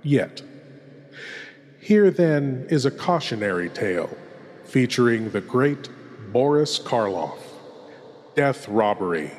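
In a long, cavernous reverb, a man performs as an eerie, courtly host of a horror show. He speaks very slowly and intimately, leaving dramatic pauses in his ominous, scripted welcome. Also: mid-range voice, big pitch swings, darkish tone. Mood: ominous, grave. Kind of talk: theatrical scripted horror introduction.

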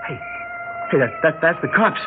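A man's voice: a little high, wildly swooping pitch, moderate pace, music in the background. A man with an American accent acting a greedy, reckless young crook in a radio drama, slangy and urgent. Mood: agitated. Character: excitable, reckless young male small-time crook, greedy. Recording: tinny, noisy old radio broadcast.

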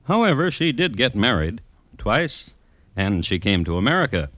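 Wry, low-voiced crime storyteller. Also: male voice, very animated, a bit bright, no room sound. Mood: dry, deliberate. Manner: dry, deliberate, droll. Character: wry, urbane crime-connoisseur narrator reporting old murders. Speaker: host and narrator. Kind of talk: 1950s radio scripted narration.

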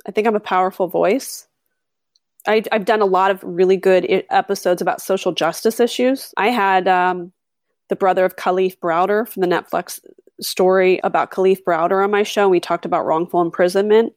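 Woman podcaster, middle aged, rambling animated stories, lots of "like", dead dry room. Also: medium pitch, moderate pace, dry recording. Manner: chatty, animated storytelling with frequent fillers. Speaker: podcast host. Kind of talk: conversational interview.

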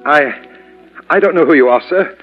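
Male actor as flustered servant, highish voice, deferential, radio play, muffled vintage recording. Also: moderate pace, natural voice. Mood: nervous, protesting. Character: anxious, dutiful male household butler. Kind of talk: 1940s radio drama acting. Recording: dull old radio recording, faint music.

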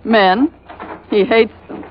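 An actress playing a cool, commanding, weary wife on a remote island in 1940s radio drama. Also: female voice, a little high, slow. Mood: composed, cool. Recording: muffled old radio with faint noise.

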